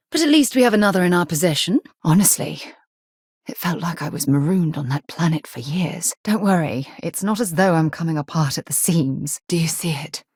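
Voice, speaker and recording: An actress playing an earnest, principled woman space explorer and leader in a video game, with a crisp, articulate, slightly husky delivery. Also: deep voice, darkish tone, dry recording, clean studio sound. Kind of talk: scripted game dialogue.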